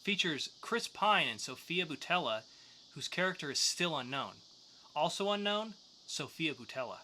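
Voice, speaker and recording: Bright-voiced man co-hosting a casual podcast. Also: on the high side, expressive, moderate pace, a little hiss or hum. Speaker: podcast co-host. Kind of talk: news segment and comics review.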